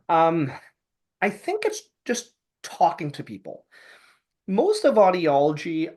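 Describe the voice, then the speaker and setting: A man with a Canadian accent, a podcast guest explaining things in casual, talkative style with plenty of filler phrases like "sort of". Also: fairly high pitch. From Canada, Toronto area, born in Hong Kong. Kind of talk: casual chat.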